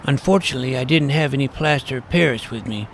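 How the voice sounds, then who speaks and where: A man's voice: mid-range voice, quick pace, a little hiss or hum, poor audio. A man narrating a scripted first-person story as an earnest boy detective, with mock-serious bravado.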